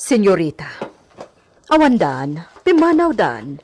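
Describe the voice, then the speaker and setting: An excitable, nosy servant woman played by an actress in a radio comedy drama. Her pitch swings widely, over a slightly noisy recording. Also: slow. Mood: grumbling.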